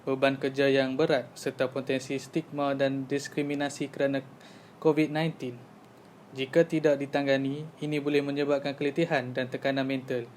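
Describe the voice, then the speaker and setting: A man's voice: medium pitch, level tone, moderate pace, a little mellow, slight background noise, natural voice. A young man with a Malaysian accent playing a helpful housemate who explains things instructively in an amateur animation. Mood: earnest.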